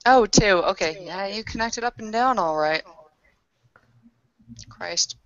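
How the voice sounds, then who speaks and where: A woman's voice: mid-range voice, takes it slowly, dry recording, highs cut off. A woman talking casually in a role-playing game, questioning and working things out aloud. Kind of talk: casual improvised game talk.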